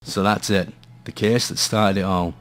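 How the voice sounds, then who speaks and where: Low-voiced actor as a brooding noir detective man. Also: fairly even intonation, normal speed, background noise. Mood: brooding, grim. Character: gloomy hard-boiled male noir detective. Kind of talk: noir radio play acting, narration and dialogue.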